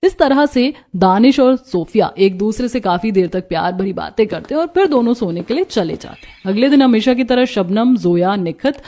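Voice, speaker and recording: A woman's voice: on the high side, lively intonation, normal speed, few pauses, dark tone. A woman narrating a scripted cartoon story.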